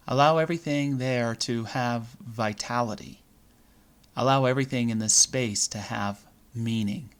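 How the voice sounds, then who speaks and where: A man leading a guided visualization meditation, speaking in measured, deliberate phrases with pauses between them. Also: medium pitch.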